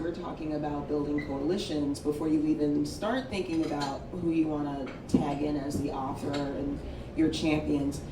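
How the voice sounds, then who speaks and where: A woman moderator asking friendly, rambling questions at a live panel. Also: deep voice. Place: live event venue, indoors. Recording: roomy, distant live-room sound with noise.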